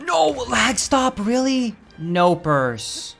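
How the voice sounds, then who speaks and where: A young man with a Swedish accent, chatting casually and reacting loudly while he streams a game. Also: very high voice, sing-song, very slow, vocal fry, soft background music. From Sweden. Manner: casual, chatty, swears and flares up over game lag. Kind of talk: live-stream gameplay commentary.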